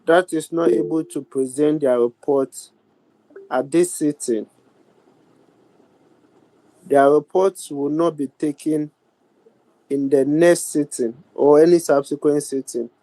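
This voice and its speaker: Ghanaian man, slightly high mellow voice, deliberate formal chair of a virtual sitting, dry sound. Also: fairly even intonation, no room sound, low-quality recording. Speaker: speaker of a youth parliament, chairing a sitting. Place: virtual meeting over video call. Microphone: video call.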